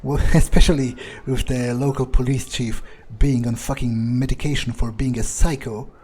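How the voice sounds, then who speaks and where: A man's voice: mid-range voice, level tone, moderate pace, darkish tone, slightly rough. A man improvising in-character video game commentary, thinking aloud in a musing, hesitant way and swearing freely. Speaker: let's player role-playing in character.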